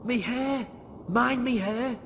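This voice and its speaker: Lister, a whiny, high-strung slob. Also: male voice, slow pace, vocal fry, a bit bright, a little hiss or hum, full character voice. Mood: agitated, indignant. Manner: indignant, pleading. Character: whiny, agitated young working-class slob, Lister.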